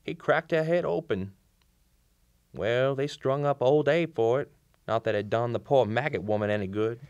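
An actor playing a kindly old small-town Southern country doctor in a radio play, with a folksy, drawling storyteller's manner. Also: male voice, mid-range voice, moderate pace, a little mellow, no room sound, lo-fi sound, natural voice. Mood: folksy storytelling. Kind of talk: old-time radio drama acting. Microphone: shared vintage-style studio microphone.

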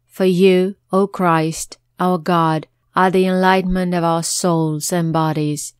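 A woman reciting prayers in a slow, reverent, measured way. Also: lowish voice, little pitch movement, clean tone, dry recording, clean studio sound. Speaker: prayer leader.

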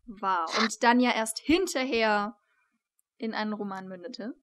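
A woman's voice: mid-range voice, a little slow, a bit bright, no echo. A woman in her thirties co-hosting a casual interview, speaking into a shared desk microphone in a small room. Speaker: interview co-host. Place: small attic room, indoors.

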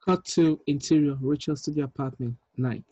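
A man in his thirties reading screenplay directions aloud in a measured, deliberate way, with small stumbles. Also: on the high side, warm tone, no room sound, dull audio. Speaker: writer reading stage directions at a table read. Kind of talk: script narration, reading aloud. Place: indoor room, on a video call.

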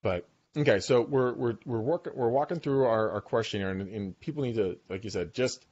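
A man in his forties hosting a podcast from his home office, talking with a guest over a video call and telling stories in an animated, talkative way. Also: medium pitch, moderate pace, dull audio. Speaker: podcast host. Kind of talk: casual video-call interview. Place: home dining room office. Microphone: boom mic on arm.

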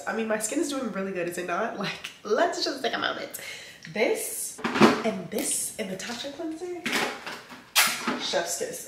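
A woman's voice: a little high, very noisy. A young woman vlogging casually to camera, chatty and slangy, a little nasal and congested from allergies. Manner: chatty, animated, slangy asides to viewers. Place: indoors at home. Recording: roomy echo, a bit off-mic.

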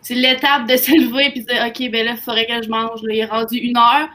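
A woman's voice: fairly high pitch, little pitch movement, fairly quick, pushed and bright. A young woman with a Québécois French accent, chatting casually in a group discussion over a video call. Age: young adult. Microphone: computer mic on video call. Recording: noisy video call, room ambience.

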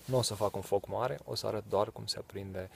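A man in his thirties from Romania, explaining bushcraft in a relaxed, casual way in leafless woods, with fillers and short laughs. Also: lowish voice, creaky, breathy edge. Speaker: bushcraft vlogger. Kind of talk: casual outdoor tutorial. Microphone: camera close, handheld then set on ground.

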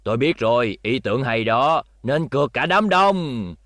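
A man's voice: mid-range voice, lively intonation, moderate pace, fairly bright tone, no room sound, clean studio sound, natural voice. A man voicing adult characters in a cartoon dub, emphatic and theatrical. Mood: scheming. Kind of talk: dramatic cartoon dubbing.

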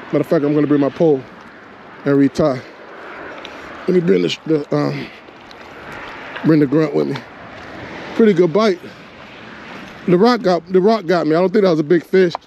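A man's voice: fairly high pitch, moderate pace, creaky, pauses often, dark tone, highs cut off, a little hiss or hum. A man fishing from a rocky shore outdoors, talking to himself and to the fish in casual running commentary, picked up by a body-mounted action camera. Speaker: fishing vlogger.

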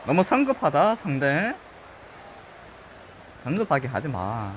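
A man with a regional Korean accent chatting casually over a football video game, his voice a little husky from just waking up, breaking into loud excited shouts. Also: on the high side, slow pace, noisy background. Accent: regional Korean dialect. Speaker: gaming channel host. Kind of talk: live gameplay commentary. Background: game music.

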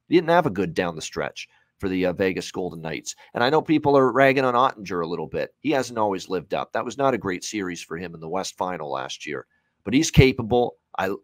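A man in his thirties with a fairly low voice talks sports betting off the cuff. He is emphatic and opinionated, repeating phrases to hammer points home. The recording is close and dry. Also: dry recording. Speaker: sports betting analyst on a show. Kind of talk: opinionated unscripted hockey betting commentary. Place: indoor room at home, on a video call.

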